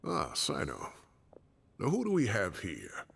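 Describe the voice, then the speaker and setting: A man's voice: mid-range voice, huge pitch swings, measured pace, vocal fry, fairly bright tone, a little hiss or hum, full character voice. A man voicing a kindly retired old professor who grumbles indignantly in a genteel way. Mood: genial. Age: older. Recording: game audio with long reverb.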